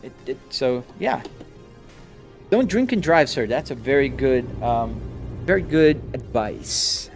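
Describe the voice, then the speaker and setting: A man's voice: mid-range voice, expressive, slow pace, soft background music. A man from Brazil with a Brazilian-American accent, streaming live from his home simulator rig and chatting casually with viewers, answering comments on the fly with frequent restarts. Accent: Brazilian Portuguese mixed with American English.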